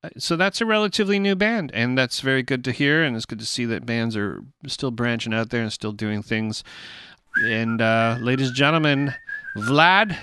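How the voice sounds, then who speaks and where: A man's voice: mid-range voice, normal speed, bright, forceful tone, smooth. Chatty man hosting a podcast. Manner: loose, chatty, rambling. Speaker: podcast host.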